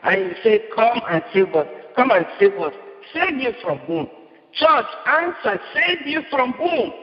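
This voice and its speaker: A man delivering an impassioned prophetic sermon, repeating his lines with rising force. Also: sits high. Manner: impassioned, repetitive declamation. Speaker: preacher. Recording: muffled, reverberant hall.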